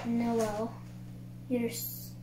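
A child reading a picture book aloud in a halting way, sounding out words. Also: mid-range voice, monotone. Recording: roomy echo, clear background noise.

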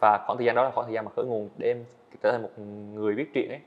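Quick earnest young man, dull sound. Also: medium pitch, level tone. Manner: earnest, candid, hesitant restarts. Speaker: guest animator. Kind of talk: podcast interview storytelling. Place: indoor studio set.

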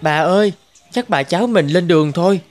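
A man voicing a poor, earnest young herdsman in an animated fairy tale. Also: high-pitched, fairly quick, faint music behind, close to an everyday voice. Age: young adult.

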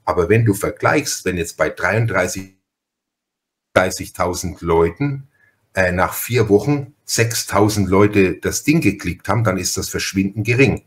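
Low-voiced Franconian man chatting. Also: expressive, a little slow. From Franconia, Germany. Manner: chatty, folksy, stop-and-start with repeated words. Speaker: podcast host, interviewer. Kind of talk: casual interview chat with storytelling.

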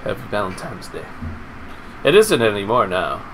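A man's voice: on the low side, very animated, moderate pace, a bit bright. A man in his thirties chatting casually and informally with viewers while livestreaming a video game from home, swearing now and then. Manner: informal, profane, talking to chat. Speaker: live game streamer. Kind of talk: casual livestream gaming chat and commentary. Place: indoors at a home streaming setup. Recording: noisy stream with clear background noise.

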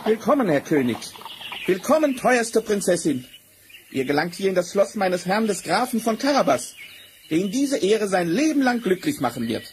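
A man playing a sly, bold talking cat in a fairy-tale audio drama, theatrical and full of flattery. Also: sits high, moderate pace. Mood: courtly welcome. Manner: theatrical, flattering, mock-amazed. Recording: reverberant hall, noisy vinyl transfer.

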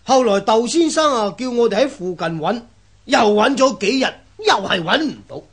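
A simple, excitable labourer in a radio drama. His voice is high and swoops about, full of exclamations, repetition and grumbling. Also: normal speed, husky, full character voice. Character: Chen, excitable, simple, grumbling male labourer searching a river. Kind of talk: Cantonese radio drama acting.

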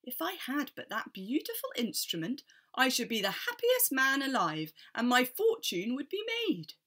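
A woman's voice: sits high, wildly swooping pitch, normal speed, a bit bright, voice pushed up, full character voice. A woman voicing a foolish, eager man who trades everything away in a folktale. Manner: eager, wishful, easily delighted. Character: foolish, eager, gullible old-fashioned man.

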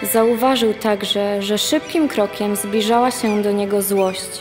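A woman's voice: mid-range voice, slow pace. A young woman reading scripted narration as the narrator of a radio play. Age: young adult. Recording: long reverb, music behind. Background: music.

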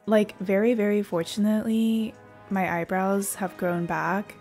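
A young woman streamer rambles playfully over a game at a very slow pace. Her voice is a little hoarse, close on the mic, with game music underneath. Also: medium pitch, fairly even intonation, a little mellow. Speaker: VTuber streamer. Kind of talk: casual livestream chat over a game.

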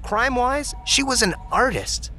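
A man's voice: very high voice, expressive, moderate pace, bright, forceful tone, faint music behind, full character voice. An actor playing a chatty, joking office worker who laughs at his own puns in an audio drama. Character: goofy pun-loving male office worker Andy. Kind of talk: audio drama interview monologue.

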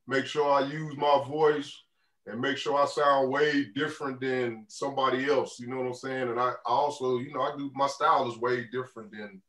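Laid-back man with a deep voice on a video call. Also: fairly even intonation, moderate pace, fairly bright tone. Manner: laid-back, loose, lots of "you know what I'm saying". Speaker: rapper guest. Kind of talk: casual interview answers. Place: indoor room on a video call. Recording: roomy video call audio, a bit distant.

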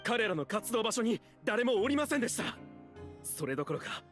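A man's voice: sits high, sing-song, a little fast, fairly bright tone, soft background music. An actor playing an earnest young male guard in a game, reporting formally and dutifully to his superior. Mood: urgent. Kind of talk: Japanese game voice acting.

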